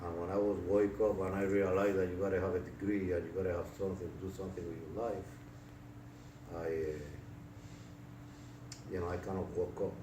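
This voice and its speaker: Deep-voiced older Puerto Rican man. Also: flat delivery. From Puerto Rico. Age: 60s. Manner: halting, many fillers and restarts. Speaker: interviewee. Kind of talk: casual life-story interview. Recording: echoey room, distant mic, noisy.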